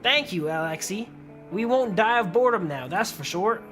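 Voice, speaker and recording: A woman's voice: medium pitch, expressive, moderate pace, a bit bright, soft background music, natural voice. A woman voicing a friendly, lonely old village man in a visual novel, chatty and hospitable. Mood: amused, grateful. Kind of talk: visual novel character read aloud.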